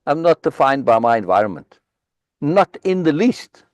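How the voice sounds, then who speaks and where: A man hosts a live reading discussion over a video call, pressing his points with insistent repetition. His voice sits fairly high and rises and falls widely. The sound is dry and close, with no room echo. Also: sing-song, normal speed. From South Africa. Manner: emphatic, insistent, repeats key words. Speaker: host leading a book reading discussion.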